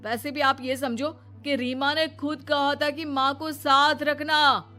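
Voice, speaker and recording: A woman's voice: sits high, steady tone, measured pace, pushed and bright, soft background music, voice pushed up. A woman voicing a selfish, impatient young married man in a family cartoon, whining and dismissive. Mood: annoyed.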